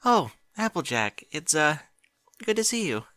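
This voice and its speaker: A man's voice: a little high, very animated, unhurried, bright, forceful tone, no room sound, studio quality, pitched above the performer's own voice, heavily acted. A man voicing a fretful bookish pony mare. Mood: weary. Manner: anxious, flustered.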